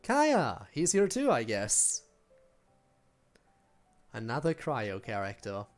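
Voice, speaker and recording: A man streaming a game in a medium-pitched voice, with faint music behind him. He comments casually, musing aloud and reacting to what happens. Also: huge pitch swings, takes it slowly.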